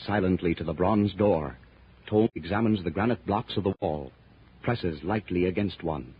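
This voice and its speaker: A man narrating a 1930s radio adventure serial in a suspenseful, theatrical style with a husky voice. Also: deep voice. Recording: muffled 1930s radio recording.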